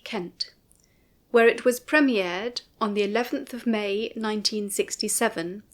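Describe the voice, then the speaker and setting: A woman reading a scripted commentary in a measured, formal and precise manner. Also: fairly high pitch. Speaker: narrator. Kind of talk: scripted documentary commentary, read aloud.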